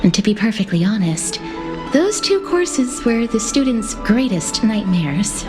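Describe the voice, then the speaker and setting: Young female scholar, video game, medium pitch, courteous, reserved, deferential, clear background music. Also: very animated, a little slow. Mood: candid, hesitant. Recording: music behind the voice.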